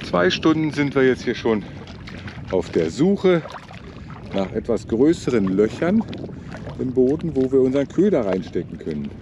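An older man narrates a sailing trip outdoors on a lagoon, speaking casually into a camera mic. His pitch swings widely as he teases his partner. Wind and water noise sit clearly under his voice. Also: sing-song, moderate pace. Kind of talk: casual running commentary while filming.

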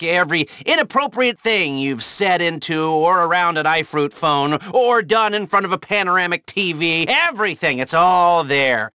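Video game heist hacker: high, bright voice, excitable and wry, dry close recording. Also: male voice, smooth, no echo. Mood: sardonic. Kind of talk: video game voice acting, mission briefing.

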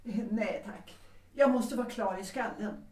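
A woman's voice: a little high, warm tone, lightly acted. A woman playing a proud, resolute middle-aged widowed mother in a 1930s audio drama, composed, formal and commanding. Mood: cool, formal. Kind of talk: Swedish audio drama acting. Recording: roomy, distant from the mic.

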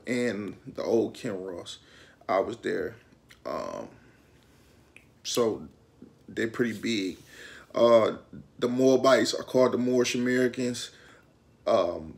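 A man's voice: fairly low pitch, creaky. A man in his thirties with a Detroit accent, talking casually to camera from a small room in loose street slang. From Detroit, Michigan. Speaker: YouTube host. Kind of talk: casual explainer talk to camera.

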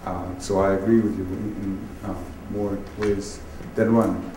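A man from Bosnia, a native Bosnian speaker, moderating a panel discussion in halting, reflective phrases. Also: sits low, level tone. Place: public event room, recorded at a distance. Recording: distant echoey hall, clearly noisy.

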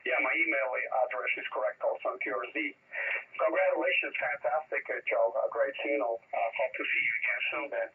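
A man's voice: medium pitch, fairly quick. A man chatting casually as a ham radio operator, heard over a radio transmission. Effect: shortwave radio transmission. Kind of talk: casual ham radio chat with call signs and phonetic spelling. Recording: thin phone-like radio signal, distant.

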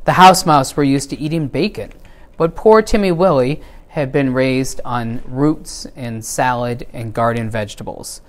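A man's voice: mid-range voice, a little slow. Middle-aged man reading a storybook. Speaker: children's story reader. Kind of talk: storybook read-aloud. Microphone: lapel mic.

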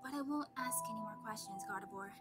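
A woman's voice: fairly high pitch, fast, natural voice. A woman playing a weary, practical young Pokemon adventurer with a grumbling, matter-of-fact manner in a fan comic dub. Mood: guarded. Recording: loud music under the voice.

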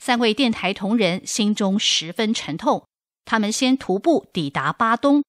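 A woman's voice: medium pitch, lively intonation, measured pace, dry recording, clean studio sound. A woman narrator reading scripted narration for a radio drama.

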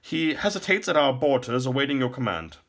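A man's voice: medium pitch, very animated, fairly quick, fairly bright tone, pitched above the performer's own voice. A man voicing a young minstrel who plays royal courtier in a curt, declamatory, courtly way. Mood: formal reporting.

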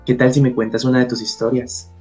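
A man playing a shy, tender with a hesitant, gentle manner in a radio drama. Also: medium pitch, flat delivery, fast talker, soft background music, lightly acted. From Colombia. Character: shy, tender Colombian man hosting a companion.

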